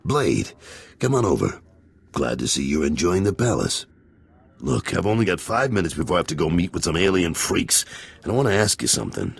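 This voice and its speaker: Game role: gruff middle-aged crime lieutenant. Rock-bottom pitch, heavy gravel, businesslike. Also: male voice, very animated, brisk, full character voice. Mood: brisk, confiding. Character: gruff, world-weary male gangster boss, middle-aged father. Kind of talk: video game voice acting.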